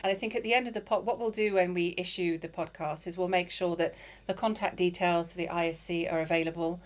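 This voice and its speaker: A woman's voice: lowish voice, steady tone, fast. A woman hosting an interview podcast, encouraging her guest and chiming in with frequent affirmations. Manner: warm, encouraging, with lots of affirming "yeah"s. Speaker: podcast host. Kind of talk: conversational interview.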